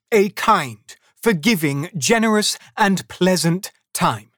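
A narrator voicing a cheerful, warm-hearted young nephew in a storybook, speaking earnestly and good-naturedly. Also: medium pitch, no echo, studio quality, pitched above the performer's own voice, natural voice.

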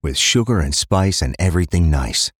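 A man's voice: low-pitched, lively intonation, moderate pace, a bit bright, breathy edge, no echo, studio quality, heavily acted. A man voicing a plain-spoken police inspector in his forties in an audiobook crime story. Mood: gentle, fatherly. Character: weary forty-two-year-old police inspector, gentle father, blunt cop.

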